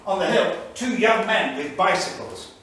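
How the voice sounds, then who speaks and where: An actor playing an anguished, indignant 1930s English diarist, emphatic and declamatory, in a staged reading. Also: male voice, sits high, expressive, normal speed, pushed and bright. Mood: grave, weary. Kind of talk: dramatic staged reading of a journal. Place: small theatre studio. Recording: echoey theatre, distant mic, faint noise.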